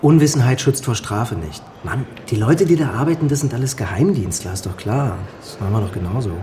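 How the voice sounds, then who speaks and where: An actor playing a cynical, worldly East German colleague in a radio drama, teasing and conspiratorial. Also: male voice, mid-range voice, animated, fairly quick, dark tone, a little hiss or hum, close to an everyday voice. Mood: sardonic, teasing. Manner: sardonic, conspiratorial.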